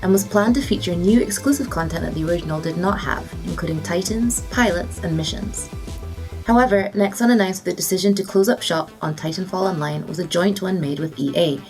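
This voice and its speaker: A woman's voice: fairly low pitch, a little fast, a little mellow, faint music behind. A woman presenter reading scripted gaming and esports news.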